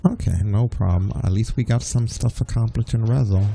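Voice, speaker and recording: A man's voice: on the low side, fairly quick, creaky, husky. A man chatting casually while playing an online game with a friend, laid-back and rambling, swearing now and then. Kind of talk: casual gaming chat. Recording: game music playing loudly behind. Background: game music.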